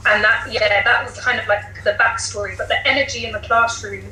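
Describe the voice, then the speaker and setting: A woman's voice: mid-range voice, steady tone, brisk, pushed and bright. A woman teacher explaining things conversationally as a guest on a podcast. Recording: echoey room, faint background noise.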